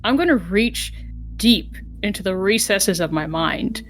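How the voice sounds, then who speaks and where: A woman playing a tabletop roleplaying game, telling her character's actions in deliberate, theatrical phrases. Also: medium pitch, animated, faint music behind.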